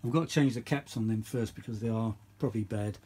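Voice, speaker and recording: A man's voice: fairly low pitch, fast talker, dark tone, breathy edge. A middle-aged man chatting casually and unscripted as host of a vintage audio video, rambling and thinking aloud, often repeating himself. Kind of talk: casual unscripted hands-on chat.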